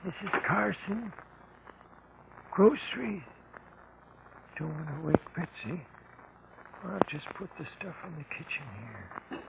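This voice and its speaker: A man's voice: on the high side, sing-song, takes it slowly, vocal fry, long pauses, muffled recording, heavily acted. An actor playing a disapproving man dropping off groceries in a 1950s radio drama. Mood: muttering. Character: disapproving male caller delivering groceries to a house. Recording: very noisy, band-limited old radio recording.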